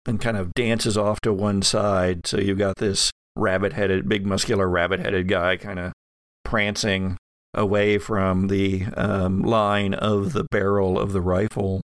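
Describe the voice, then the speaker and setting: A man improvising tabletop game narration as game master, halting as he searches for his words. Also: fairly low pitch, little pitch movement, no room sound.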